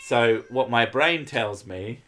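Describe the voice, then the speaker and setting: Male streamer, mid-pitched, rambling casual chat with swearing. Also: lively intonation, slow pace, fairly bright tone. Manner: swears often, rambling with fillers.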